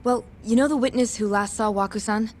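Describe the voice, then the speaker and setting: A woman's voice: fairly high pitch, steady tone, brisk, slight background noise, natural voice. A woman playing an earnest, guarded young nurse in an English-dubbed game drama, speaking precisely and formally.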